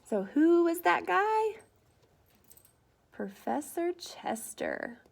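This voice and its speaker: Woman teacher, high-pitched, chatty and child-directed, hosting a storytime for young pupils. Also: very animated, drawn-out words, creaky. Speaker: schoolteacher. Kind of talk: read-aloud video for young pupils.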